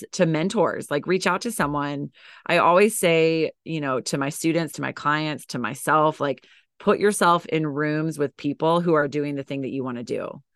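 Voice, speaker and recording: A woman's voice: deep voice, fairly bright tone, clear, resonant tone, no room sound, clean studio sound. A woman with a scratchy, tired voice from teaching, chatting as a podcast guest with lots of fillers and restarts. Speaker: podcast guest, dance educator and coach. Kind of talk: casual interview chat.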